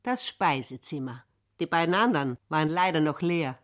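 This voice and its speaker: A woman's voice: sits low, animated, normal speed. A woman speaking literary lines in a radio drama.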